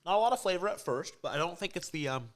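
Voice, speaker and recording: Lively man chatting through snack reviews. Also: high voice, fast. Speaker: podcast co-host. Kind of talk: casual chat reviewing snacks.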